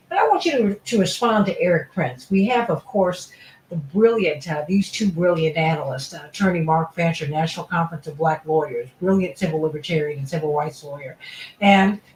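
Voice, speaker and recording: A woman's voice: low voice, expressive, moderate pace, darkish tone, reverberant, slight background noise, rough recording. A woman hosting a radio talk show, introducing guests effusively with frequent fillers.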